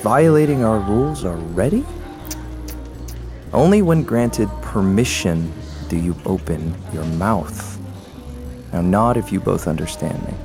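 A man's voice: lowish voice, expressive, a little slow, over music. A man acting a menacing, leering enforcer in an audio drama, with a taunting, domineering manner. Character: menacing, leering male enforcer of a secret party's rules.

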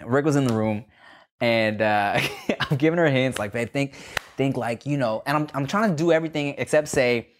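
A man's voice: mid-range voice, moderate pace, slight background noise. A man co-hosting a podcast, telling a story in a casual chat, laughing often and acting out quotes, a bit phlegmy. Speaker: podcast co-host.

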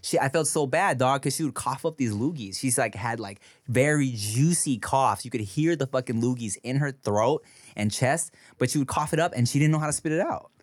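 A man's voice: medium pitch, brisk, no echo, clean studio sound. A man co-hosting a podcast, telling stories in casual chat, swearing freely and laughing often. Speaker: podcast co-host. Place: podcast studio. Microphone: studio microphone.

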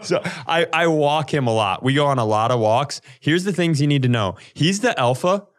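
A man's voice: fairly high pitch, lively intonation, normal speed. A young adult man co-hosting a comedy podcast, telling stories casually and jokingly, acting out the quotes as he goes. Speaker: podcast co-host. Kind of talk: casual comic chat and storytelling.

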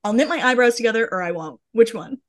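Female podcast co-host, a touch higher pitch, casual chatty read of gossip items. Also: expressive, fast. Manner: chatty, reads blind items aloud. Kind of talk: casual celebrity gossip chat.

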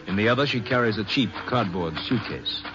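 A man reading measured, sober scripted narration for a 1950s radio western. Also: fairly low pitch, animated, music in the background. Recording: muffled old radio transcription.

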